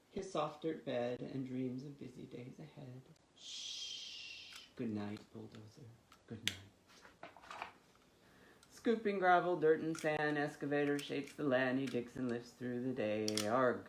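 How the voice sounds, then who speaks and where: Male children's storytime host, mid-pitched, expressive and sing-song, recorded in an echoey room. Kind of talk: reading picture books and leading finger rhymes. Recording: roomy echo with faint background noise.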